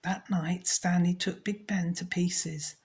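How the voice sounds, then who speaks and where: A woman storyteller narrating a children's story in a measured, scripted read. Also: on the low side, steady tone, darkish tone.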